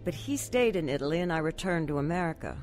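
A woman's voice: low-pitched, animated, fast talker, a little mellow, soft background music. An actress playing a troubled, anxious young woman telling her life story earnestly in a radio drama. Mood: somber confiding. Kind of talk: radio drama testimony narration and acting.

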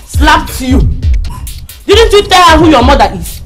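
A woman's voice: sits high, sing-song, a little slow, over music. An actress with a Nigerian accent playing a combative, protective mother and bossy compound caretaker in a comedy-drama, emphatic and repetitive. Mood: loud, protective. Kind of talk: screen comedy-drama acting.

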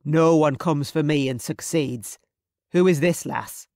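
A narrator voicing a menacing, commanding Highland warrior chief with imperious, archaic phrasing for an audiobook. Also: measured pace, a little mellow, no echo, clean studio sound, close to an everyday voice.

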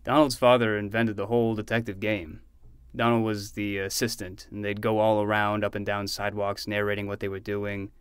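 A man's voice: on the low side, flat delivery, a little fast, clean tone, no echo, studio quality, natural voice. A man playing an easygoing, protective uncle in a fiction podcast, conversational and matter-of-fact. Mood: reflective, protective. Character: easygoing, protective adult uncle, mildly flustered.

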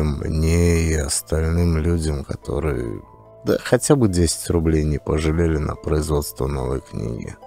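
A man's voice: a bass voice, gravelly. A man reading an audiobook and then thanking and addressing his listeners earnestly. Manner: earnest, warm direct appeal to listeners. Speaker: audiobook narrator. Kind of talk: scripted audiobook narration. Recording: faint background music.

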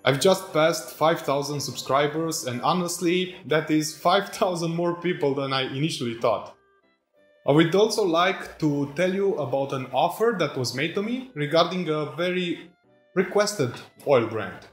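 A man with a Romanian accent talks to camera in a relaxed, chatty way, often laughing at himself. His voice sits a little high for a man. He is recorded on a phone mic in an echoey workshop with faint music under him. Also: moderate pace. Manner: chatty, laughs often, self-deprecating asides. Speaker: vlogger. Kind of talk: casual vlog to camera. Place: indoor workshop with tools and shelves.

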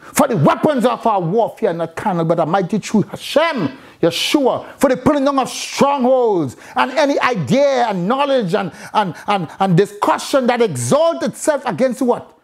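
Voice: mid-range voice, sing-song, normal speed. A preacher delivering an impassioned, exhorting sermon, repeating phrases for emphasis.

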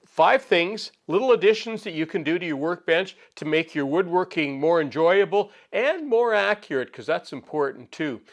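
A man in his sixties hosting a woodworking channel, explaining his workbench tricks in a relaxed, chatty way with frequent chuckles, recorded in his home workshop. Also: a little high, normal speed, hardly any pauses. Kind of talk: casual workshop demonstration. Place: home woodworking shop, indoors.

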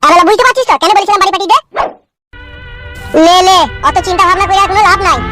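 Man acting a crude exasperated husband, high-pitched, scolding, very fast, comedy cartoon, music behind. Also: steady tone. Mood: angry, mocking. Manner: scolding, crude, rapid-fire. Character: foul-mouthed, exasperated husband ranting about his runaway mother-in-law. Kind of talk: amateur comedy cartoon dubbing.

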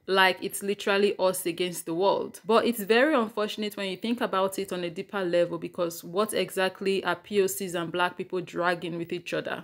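A woman in her thirties giving earnest, firm commentary to her phone camera at home. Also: mid-range voice. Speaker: commentary creator. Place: indoors at home. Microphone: phone camera close up.

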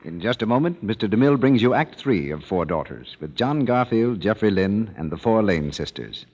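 A man's voice: on the low side, big pitch swings, moderate pace, a little mellow, a little hiss or hum. A man working as a radio announcer, with a formal, salesy delivery in 1930s broadcast style. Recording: dull old radio broadcast, hall reverb.

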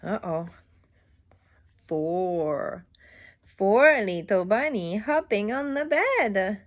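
A middle-aged woman reading a picture book aloud to young children in an exaggerated, interactive way, with questions and pauses. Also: mid-range voice, lively intonation. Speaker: children's storybook reader. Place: small indoor room at home.

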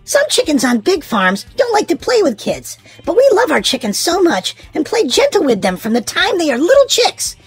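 A woman reads a children's storybook aloud in an expressive, sing-song way, leaning on key words for young listeners. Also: very high-pitched, moderate pace, few pauses, faint music behind.

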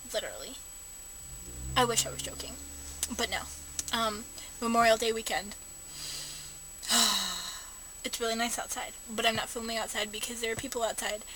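Chatty young woman vlogging from her car. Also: a little high. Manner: chatty, self-mocking, many fillers. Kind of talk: casual rambling vlog. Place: parked car. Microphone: camera held low at arm's length. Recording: noisy home camera recording.

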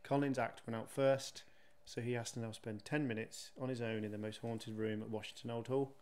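A man's voice: mid-range voice, level tone, brisk, a little mellow. A man in his thirties, a paranormal investigator, casually explaining things to camera. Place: indoors in an old hall room.

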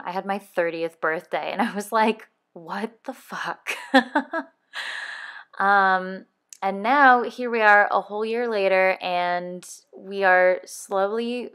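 A woman's voice: mid-range voice, a bit bright, smooth. A woman in her early thirties hosting a podcast, talking casually and rambling a little, correcting herself and laughing as she goes. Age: 30s. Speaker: podcast co-host.

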